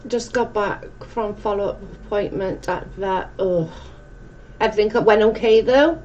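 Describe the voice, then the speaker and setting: A woman's voice: mid-range voice, normal speed, darkish tone. A woman in her forties with a South Welsh accent, chatting casually as a livestream host and reading out comments. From South Wales. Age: 40s. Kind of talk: casual chat with live viewers, reading out comments. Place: dim indoor room at home. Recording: muffled, clearly noisy room recording.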